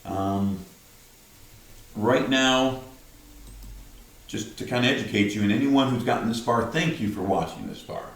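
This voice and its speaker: An older man with a gruff voice who talks directly, rambling to camera in a casual vlog and often tagging on "right?". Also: medium pitch, unhurried, long pauses, heavy reverb, recorded from a distance, rough recording. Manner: rambling, many "right?" tags. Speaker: vlogger.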